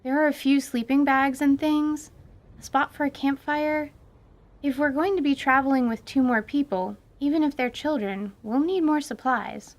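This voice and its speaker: A woman's voice: sits high, moderate pace, voice pushed up, close to an everyday voice. Woman voicing a careful pale young woman. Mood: practical.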